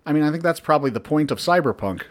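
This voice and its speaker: A man with a slightly high-set voice reads a review aloud on a casual podcast. He keeps a sardonic, deadpan tone, swears freely, and cuts in with his own asides. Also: very fast. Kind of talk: casual banter, reading aloud.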